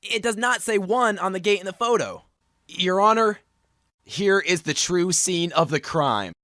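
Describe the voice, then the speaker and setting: Casting: male actor as young defense attorney for a game fandub, high voice, earnest, declamatory, music underneath. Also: measured pace, sharp tone, dry recording, studio quality. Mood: pointed, confident. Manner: declamatory courtroom argument. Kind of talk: amateur anime-game fandub acting.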